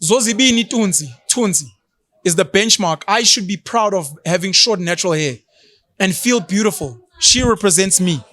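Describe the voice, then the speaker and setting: South African man, 30s, talk co-host; animated, mocking, high register, dry studio. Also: normal speed, no echo. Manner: animated, mocking, slips into isiZulu. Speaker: podcast co-host. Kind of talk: opinionated casual chat.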